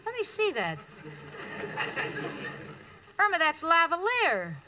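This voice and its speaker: A woman's voice: extremely high pitch, wildly swooping pitch, slow pace, crowd noise, heavily acted. An actress playing a wry, marriage-hungry young single woman in a 1940s radio comedy, with theatrical comic delivery on an old recording. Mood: sardonic. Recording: noisy vintage radio recording.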